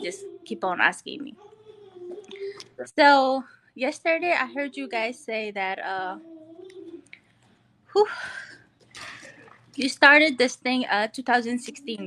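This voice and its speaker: A woman's voice: fairly high pitch, slow pace, long pauses, bright, forceful tone. A woman from Ethiopia, speaking English as an additional language, chatting casually as a caller on a live stream over a video call. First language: not English, which is at best her fourth language. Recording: noisy online call with clicks.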